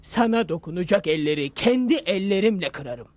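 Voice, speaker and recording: High-pitched lovestruck young dandy. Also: male voice, lively intonation, a little fast, darkish tone, slight background noise. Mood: romantic, poetic. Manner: florid, theatrical declaiming. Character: timid, lovestruck, poetic young newlywed dandy. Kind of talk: Turkish cartoon dub acting. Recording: music bed under the dialogue.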